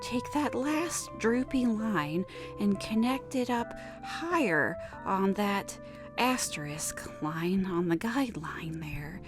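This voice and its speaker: A woman playing an awkward, wistful drawing teacher on a macabre comedy podcast, speaking in a halting, deliberate way. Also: mid-range voice, animated. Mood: halting, patient. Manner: halting, deliberate, hesitant instructor delivery. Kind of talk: scripted horror-comedy podcast monologue, mock drawing lesson. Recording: music behind the voice.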